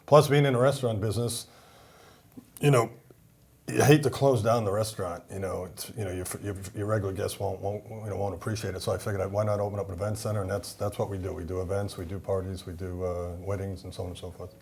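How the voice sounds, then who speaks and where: A man with a Boston accent talks quickly in a rambling, filler-heavy way. His voice sits low. He is chatting as a guest on a talk show. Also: flat delivery, creaky, a little mellow, a little hiss or hum. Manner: rambling, many fillers and restarts. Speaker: event center owner, guest. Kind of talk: casual TV talk show interview.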